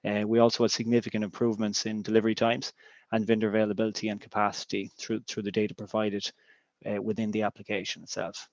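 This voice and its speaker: Hesitant Irish man, flat and low. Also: quick pace, no echo, lo-fi sound. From Ireland. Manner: hesitant, many ums and restarts. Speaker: business intelligence director. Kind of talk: webinar presentation.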